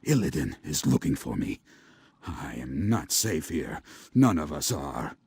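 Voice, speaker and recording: Weary but resolute old alien seer, fantasy game. Very low, slow, heavily rasped, formal. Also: male voice, very animated, darkish tone, heavily acted. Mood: urgent, grave. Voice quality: raspy, gravelly. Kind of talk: fantasy video game voice acting.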